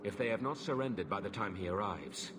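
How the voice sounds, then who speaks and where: An actor voicing a weary, earnest middle-aged knight in a video game, speaking in a formal, measured way. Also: male voice, lowish voice, expressive, noisy background, natural voice. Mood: grave, urging. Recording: music behind the voice.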